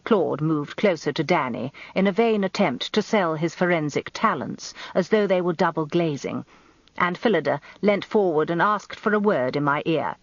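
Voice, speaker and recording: Genteel english wife spilling gossip in a radio play, arch n very animated, bit muffled dry recording. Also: female voice, lowish voice, moderate pace, no room sound. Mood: confiding. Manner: arch, confiding, expressive storytelling. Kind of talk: first-person radio drama narration.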